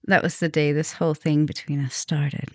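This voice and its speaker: A woman playing a flirtatious, softly dominant vampire professor in an ASMR roleplay, intimate and teasing, sometimes dropping to a whisper. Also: sits low, level tone, moderate pace, no room sound, close to an everyday voice. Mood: teasing, flirty. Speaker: roleplay audio performer.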